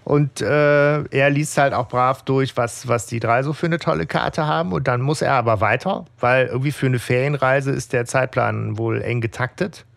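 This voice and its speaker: A man's voice: mid-range voice, normal speed, gravelly, hardly any pauses, fairly bright tone, no echo. A man hosting a podcast in a casual, colloquial way, guiding the discussion along. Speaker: podcast host. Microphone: close mic on a remote internet call.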